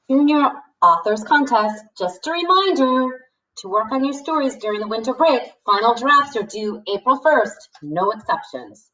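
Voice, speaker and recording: A woman reading a book aloud expressively and deliberately, like a teacher, with plain explanatory asides. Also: medium pitch, fairly bright tone, dull audio. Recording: distant microphone.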